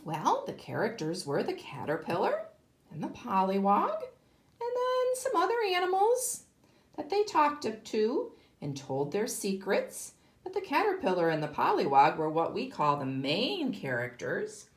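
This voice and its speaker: A woman teacher reads a story aloud for young children. Her delivery is slow and highly animated, with deliberate emphasis on key words. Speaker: kindergarten teacher.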